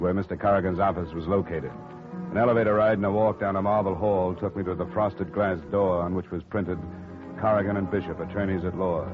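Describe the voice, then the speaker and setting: An actor playing a cool, wry insurance investigator in 1950s radio style, clipped and dry. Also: male voice, deep voice, close to an everyday voice. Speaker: radio drama lead actor. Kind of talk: 1950s radio acting, scripted first-person narration. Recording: muffled old radio recording, music behind.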